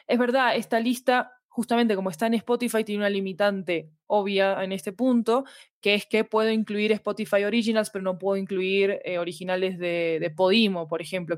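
A woman speaks as a podcast guest in a casual conversation. Her pitch sits in an average range and she qualifies her points thoughtfully as she goes. The recording is dry, with very little room around the voice. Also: no echo. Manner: thoughtful, hedging, self-qualifying. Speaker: podcast guest, fiction editorial manager.

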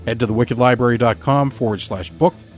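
A man hosting a horror podcast, reading scripted announcements in a measured presenting style. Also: medium pitch, a little mellow. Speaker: podcast host. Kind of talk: scripted narration. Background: music.

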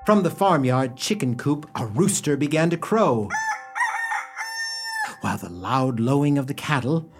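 A droll radio storyteller, played by a man, with a medium-pitched voice. He narrates theatrically, with mock solemnity, as in a scripted comic play. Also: expressive, a little slow, long pauses, over music.

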